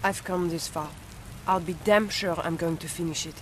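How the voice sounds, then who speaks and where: An actress playing a tough, grave young French Resistance fighter with a French accent in a game cutscene. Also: female voice, fairly low pitch, fairly even intonation, moderate pace, slight background noise, natural voice, poor audio. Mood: determined. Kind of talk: dramatic video game cutscene acting.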